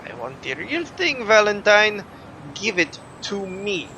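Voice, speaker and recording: An arrogant supernatural villain acted by a man for an audio drama, sharp-edged and swooping in pitch. He draws out his threats with slow, mocking theatricality. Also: sing-song, slight background noise, exaggerated voice. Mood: demanding, scornful. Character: menacing, arrogant supernatural male villain, smugly disdainful. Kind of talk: scripted audio drama acting.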